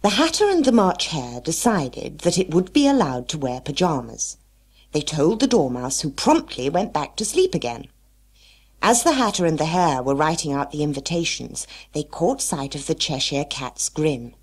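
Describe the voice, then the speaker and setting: A woman reads a children's story expressively. Her voice is low, with a creaky grain and very animated intonation. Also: normal speed. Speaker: story narrator.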